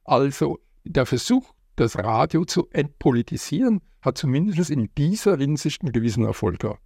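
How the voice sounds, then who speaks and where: A man's voice: medium pitch, very animated, normal speed, darkish tone, breathy edge, no echo, clean studio sound. A man speaking as a historian, giving expert commentary in an interview.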